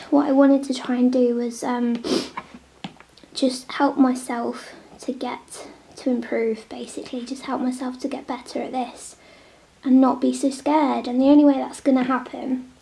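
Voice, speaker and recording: Soft-spoken young woman with a cold. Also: high voice, creaky. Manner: chatty, drifting between soft near-whispers and normal talk. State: snotty with a cold. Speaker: vlogger drawing on a tablet. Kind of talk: casual chat while drawing. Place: home, at a table indoors. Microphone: camera on the table.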